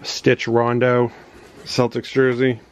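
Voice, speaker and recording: A middle-aged man giving a casual, halting show-and-tell in a small indoor room on a handheld camera. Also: medium pitch, monotone. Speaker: reseller showing storage unit finds. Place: small cluttered home office, indoors. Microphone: handheld phone or camera. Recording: noisy handheld home recording.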